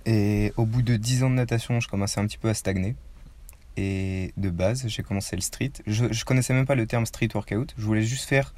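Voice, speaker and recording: A man's voice: lowish voice, brisk, darkish tone. An eighteen-year-old man from the Paris suburbs answering interview questions in a chatty, rambling way. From Paris suburbs, France. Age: teens. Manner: chatty, rambling, eager storyteller. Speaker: guest athlete.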